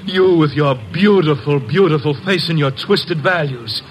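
A man's voice: fairly high pitch, normal speed, a little hiss or hum, close to an everyday voice. An actor playing an unstable young man, lovesick and paranoid, in a 1940s radio drama, pleading and then turning frantic. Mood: bitter.

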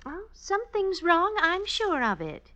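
An actress playing a fretful, fussing, worried wife in a classic radio drama. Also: female voice, very high-pitched, wildly swooping pitch, measured pace, bright, forceful tone, heavily acted. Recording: dull old radio recording.

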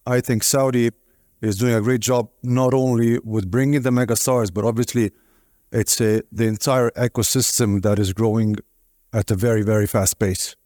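A man's voice: mid-range voice, fairly even intonation, moderate pace, a little mellow, dry recording, clean studio sound. A man in his forties from Italy, speaking on a conference panel in a reflective, storytelling way with some hesitations. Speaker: league executive panelist. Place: conference stage.

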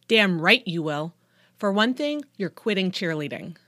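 Woman acting a loud, sarcastic, angry dad. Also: on the low side, lively intonation, measured pace, no echo, studio quality. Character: angry, sarcastic middle-aged father scolding his teenage daughter. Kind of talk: audiobook character dialogue.

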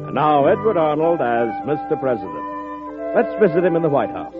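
An American man announces a 1940s radio drama. His fairly high voice is formal and declamatory, moving widely in pitch. Loud music plays behind him on a thin, old broadcast recording. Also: sing-song, brisk, narrow, tinny audio.

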